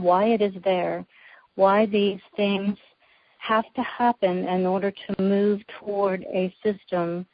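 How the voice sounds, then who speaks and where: Female guest speaker, low voice, little pitch movement, emphatic explainer on a dry, lo-fi conference call. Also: no room sound. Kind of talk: explanatory political talk. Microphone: telephone. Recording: dull lo-fi phone conference line.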